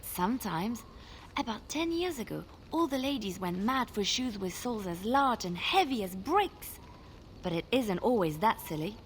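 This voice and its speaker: A woman playing a girlish, wistful young companion in a fantasy video game, with an expressive delivery. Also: mid-range voice, normal speed, slight background noise, close to an everyday voice. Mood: dreamy, enthusiastic. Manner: dreamy, expressive.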